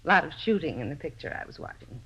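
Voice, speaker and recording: A woman's voice: sits low, big pitch swings, fast, sharp tone, slightly rough, exaggerated voice. An actress playing a touchy, self-important American landlady in a 1950s radio drama, talkative and defensive. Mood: explaining. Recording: muffled old radio recording.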